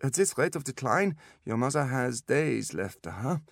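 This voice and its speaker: A pompous German specialist, pitched up and clipped, dismissing relatives in a storybook sickroom corridor. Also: male voice, big pitch swings, unhurried, gravelly, no room sound, clean studio sound, full character voice. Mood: cold, grave. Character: sharp, impatient, haughty German male doctor.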